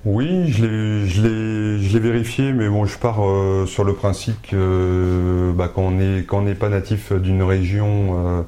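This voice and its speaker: A man's voice: deep voice, mellow, slight background noise. A man from Brittany, a shop owner, answering interview questions hesitantly, with many filler sounds and restarts. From Brittany, France.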